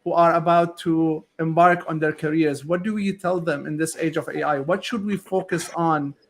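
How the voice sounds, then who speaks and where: A man's voice: a little high, fairly even intonation, moderate pace, a little hiss or hum. A man hosting a podcast who asks questions and comments earnestly, often restarting his sentences. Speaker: podcast host.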